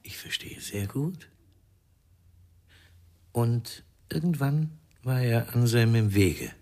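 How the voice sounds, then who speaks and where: A man's voice: mid-range voice, slow, darkish tone. An actor playing a cool, knowing, menacing man in a radio crime drama, probing and insinuating.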